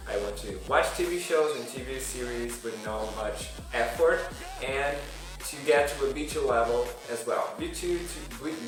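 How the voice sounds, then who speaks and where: A young man speaks English as an in a relaxed vlog, pausing and restarting his sentences as he talks. His voice sits at an ordinary pitch and rings around a strongly echoing room, picked up from a little way off the mic. Music plays clearly underneath. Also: distant mic. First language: Portuguese. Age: young adult. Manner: hesitant, with frequent restarts and fillers. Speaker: language learning vlogger. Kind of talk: casual vlog monologue.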